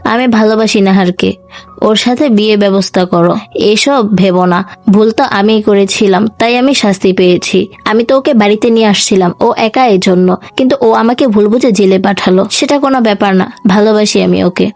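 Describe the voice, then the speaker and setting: A woman's voice: medium pitch, moderate pace, a little mellow, faint music behind, close to an everyday voice. A woman voicing a scheming, menacing young man who feigns innocence, sly and self-satisfied, in a dramatic storytelling. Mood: falsely meek.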